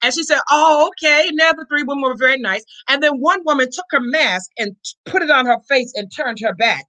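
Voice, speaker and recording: Pastor role: high, forceful voice, fervent church preaching, urging listeners on with repeated interjections. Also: quick pace. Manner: exhortatory, repeats refrains and interjections; emphatic, often raising the voice. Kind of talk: fervent charismatic church sermon.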